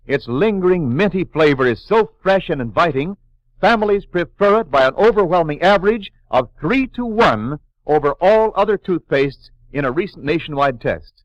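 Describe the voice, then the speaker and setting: American guy doing old 40s radio announcing, polished salesman delivery, bit high, muffled recording. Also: no room sound. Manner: polished, promotional. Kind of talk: 1940s radio announcing and advertising.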